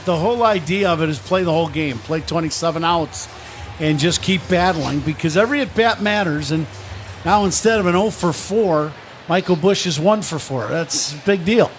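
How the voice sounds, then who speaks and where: A man's voice: on the high side, normal speed. A man giving conversational colour commentary on a live baseball radio broadcast. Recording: clear ballpark noise behind. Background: outdoor stadium ambience.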